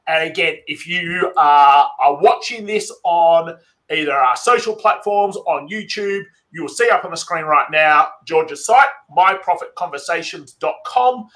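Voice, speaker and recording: Male podcast host from Australia, eager and chatty, crisp bright tone. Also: fairly high pitch. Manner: enthusiastic, conversational with fillers. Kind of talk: podcast interview.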